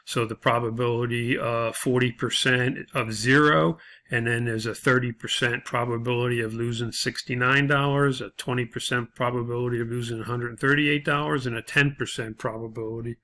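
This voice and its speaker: A man working through a lesson as an instructor, explaining informally step by step with frequent hesitant pauses. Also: medium pitch, fairly even intonation, pushed and bright. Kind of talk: informal video lesson walkthrough.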